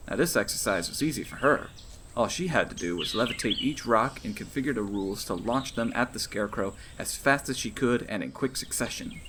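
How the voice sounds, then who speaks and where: A man's voice: lowish voice, a bit bright, a little hiss or hum. A man reading his own fantasy novel aloud as scripted audiobook narration.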